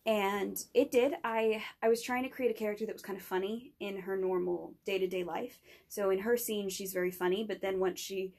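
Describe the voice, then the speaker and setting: Young woman with heavy vocal fry. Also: medium pitch, fairly even intonation, a little fast, hardly any pauses, darkish tone. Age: young adult. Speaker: student podcast guest pitching a project. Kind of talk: informal spoken pitch in an interview.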